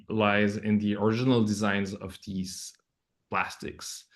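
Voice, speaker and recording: A man giving explanatory interview answers as a scientist, in halting, hesitant phrasing. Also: on the low side. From Belgium. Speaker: project scientist, interview guest.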